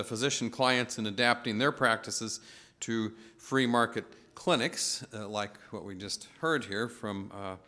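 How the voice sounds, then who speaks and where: A man with a bright, forceful voice introduces the next speaker at a conference. He reads out a short biography and stumbles over a few fillers. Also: mid-range voice. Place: conference hall.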